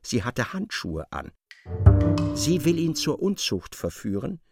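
A man's voice: mid-range voice, huge pitch swings, takes it slowly, vocal fry, loud music behind the voice. A man narrating a literary radio play in a dramatic, scripted style.

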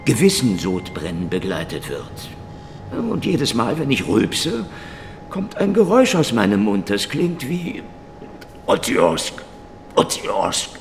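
An actor playing a hardboiled, sardonic and defiant Soviet secret police detective in a dramatic radio play. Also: male voice, fairly low pitch, sing-song, takes it slowly, background noise, exaggerated voice. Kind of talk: German radio drama acting with noir first-person narration. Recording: reverberant hall, loud music underneath.

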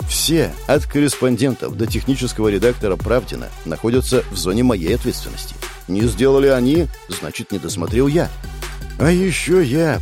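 A man reading a first-person novel aloud in an expressive, animated style. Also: medium pitch. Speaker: audiobook narrator. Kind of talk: first-person audiobook narration.

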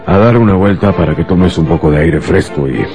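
A man's voice: low voice, a little fast, dark tone, soft background music, lightly acted. An actor playing a manipulative family man in a radio drama, soothing and persuasive as he coaxes. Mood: coaxing. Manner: coaxing, persuasive. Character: manipulative husband and uncle, soothing, secretly scheming. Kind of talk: Spanish-language radio drama acting.

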